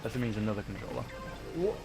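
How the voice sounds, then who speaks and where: Man, game livestreamer, casual chat, medium pitch, short reactive remarks, thinking aloud, light music behind. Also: lively intonation, fast, vocal fry. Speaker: livestream host, VTuber.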